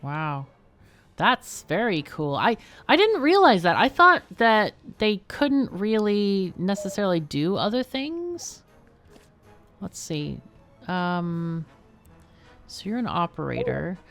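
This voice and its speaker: A woman's voice: mid-range voice, sing-song, slow, fairly bright tone, faint music behind. A woman hosting a game livestream, chatting casually and thinking aloud as she plays. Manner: thinks aloud while playing. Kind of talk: casual gameplay chat.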